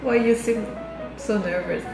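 A woman's voice: medium pitch, moderate pace, vocal fry. A woman, cheerfully hosting a home vlog in English and laughing often. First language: Korean. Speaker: vlogger host. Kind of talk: casual vlog talk. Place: indoors at home. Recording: echoey home room with music.

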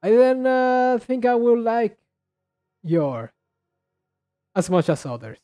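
High-voiced man, halting and rambling. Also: very animated, mellow, clean tone, faint music behind. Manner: halting, rambling, repeats and restarts words. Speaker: reaction video host. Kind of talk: casual commentary on game trailers.

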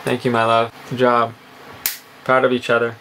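A man's voice: medium pitch, monotone, moderate pace, background noise. A man in his thirties chatting casually while sailing, talking into a camera held at arm's length on deck. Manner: easygoing, playful. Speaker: sailing vlogger. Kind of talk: casual vlog chat. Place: sailboat deck outdoors.

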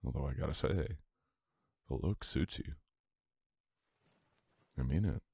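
A man playing a sweet, teasing boyfriend in an intimate ASMR audio roleplay, coaxing softly and unhurriedly. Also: extremely low pitch, a little slow, creaky, husky, dry recording, clean studio sound, heavily acted. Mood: tender coaxing.

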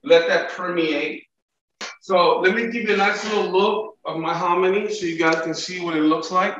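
A man's voice: sits high, fairly even intonation, moderate pace, a bit bright, roomy sound, lo-fi sound, slight background noise. A middle-aged man, a chef, leading a cooking demo and checking in with his student over a video call. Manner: encouraging, coaching with frequent "okay?" and "right?" checks. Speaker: chef instructor.

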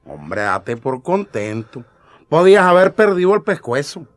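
An actor playing a shifty, wheedling sidekick in a radio drama. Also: male voice, a little high, very animated, unhurried. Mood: teasing. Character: shifty male crony, wheedling and timid.

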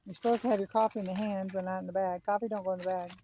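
A woman behind the camera in a backyard, casually coaching and gently teasing children through a pretend game. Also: medium pitch, fairly even intonation, racing, background noise. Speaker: parent filming children at play. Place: backyard, outdoors. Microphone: handheld camera, speaker behind it.

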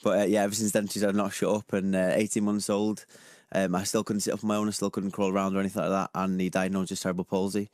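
A young man from Liverpool with a low, deep voice, talking quickly and openly as a studio guest. He sits at a studio microphone, and the sound is close and dry. He speaks with an easy manner and laughs readily. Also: no room sound. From Liverpool, England. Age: 20s. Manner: open, relaxed, laughs easily. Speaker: guest athlete. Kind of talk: radio interview. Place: small radio studio.

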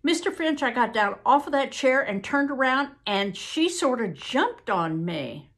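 A woman's voice: sits high, sing-song, voice pushed up, heavily acted. Woman voicing a nervous, polite Southern young man. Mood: distressed, insistent. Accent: American South. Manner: halting, earnest, deferential, folksy. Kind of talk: audiobook character acting.

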